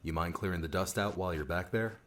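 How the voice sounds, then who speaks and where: Man acting a dry, low-voiced needling young guy. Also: fast, lightly acted. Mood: teasing.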